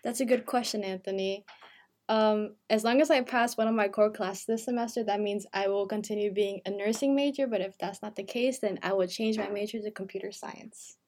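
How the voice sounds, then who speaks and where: A woman's voice: medium pitch, steady tone, brisk, darkish tone. An eighteen-year-old woman co-hosting a podcast, talking in a chatty, casual way with lots of "like" fillers. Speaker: podcast co-host, university freshman.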